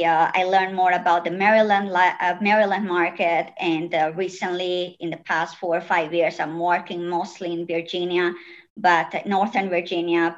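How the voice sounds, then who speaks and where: A woman's voice: fairly low pitch, lo-fi sound. A middle-aged woman with an Italian accent, a real estate agent answering interview questions at length with frequent fillers. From Italy. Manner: talkative, many "uh" fillers, animated.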